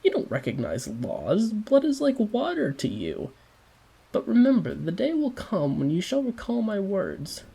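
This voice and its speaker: A tormented devout man, fervent and preaching, raised and loud, as a man puts him on. The voice is gravelly and husky, pitched up with mounting urgency. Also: wildly swooping pitch, measured pace, heavily acted. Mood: brooding. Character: tormented devout man, brooding on death and sin.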